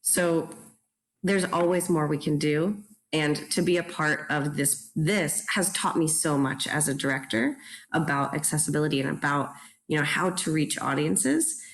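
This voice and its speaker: A woman's voice: low-pitched, normal speed. A woman who works as a theatre director, talking casually in a panel, earnest and grateful.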